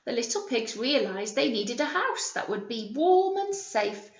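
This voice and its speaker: A British woman reads a storybook as a primary teacher would, with a highish, very lively voice that rises and falls. She speaks simply to young children and stops to ask them questions. The sound is roomy. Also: unhurried, dull audio. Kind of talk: picture book read-aloud with teaching asides and questions.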